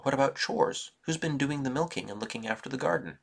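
A man voicing a determined young farm girl who scolds her little brother in a low, hushed tone. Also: fast, creaky. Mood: questioning.

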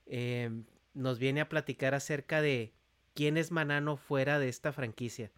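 A man's voice: medium pitch, fairly even intonation, a little slow, a bit bright, smooth. A man hosting a podcast, introducing things in an informal, friendly, conversational way. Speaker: podcast host.

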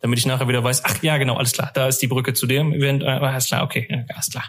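A man with a mid-range voice hosts a casual fan podcast. He speaks colloquially, often hesitating and reworking his phrasing as he thinks out loud. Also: medium pitch, flat delivery, racing.

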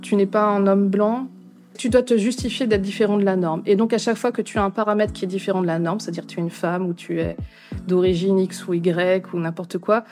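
A woman's voice: medium pitch, steady tone, a little fast, over music. A woman musician being interviewed, speaking thoughtfully and hesitantly with many fillers. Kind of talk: casual interview talk.

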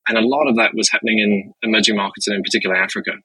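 A man from Zimbabwe, a business executive answering interview questions in a measured, explanatory way with frequent fillers. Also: lowish voice, level tone, sharp tone, breathy edge, no room sound, low-quality recording.

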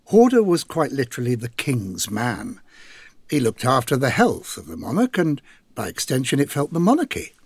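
A man talking through his role in an interview, pausing as he searches for words. His voice is husky and quite expressive. The sound is dry and close. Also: mid-range voice, no room sound. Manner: thoughtful, halting. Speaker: actor interviewed. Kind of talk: interview commentary.

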